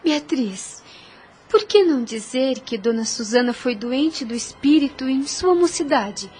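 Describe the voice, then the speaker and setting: Gentle, devout young Brazilian woman, highish voice. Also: expressive, measured pace, breathy edge, exaggerated voice. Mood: gently reproving. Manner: earnest, soothing, moralising, formal diction. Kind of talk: radio soap opera acting.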